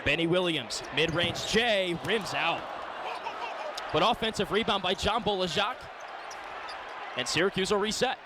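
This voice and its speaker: A man with a somewhat high-pitched voice gives live basketball commentary for radio. He describes the action in short, clipped phrases as the play-by-play announcer. Loud arena ambience and bouncing balls sit behind his voice. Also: unhurried, pauses often, background noise. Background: gym ambience, bouncing basketballs.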